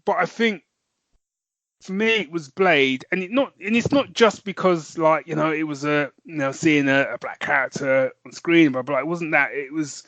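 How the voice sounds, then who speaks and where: A man's voice: a little high, normal speed, a bit bright, no room sound. A man with a British accent co-hosting a podcast, chatting casually and teasing his co-host with frequent laughs. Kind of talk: casual chat.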